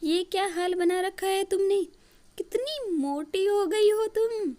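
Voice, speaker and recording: A woman's voice: extremely high pitch, slow pace, mellow, voice pushed up. A woman voicing a teasing young female friend in a story cartoon, exclaiming at everything. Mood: shocked teasing.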